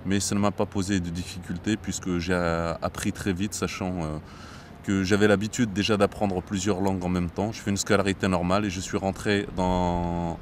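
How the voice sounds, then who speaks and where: A man in his thirties from Morocco, a border police captain, speaking in a measured interview with frequent hesitations. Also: low-pitched, background noise. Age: 30s. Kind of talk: interview monologue. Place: outdoors near traffic.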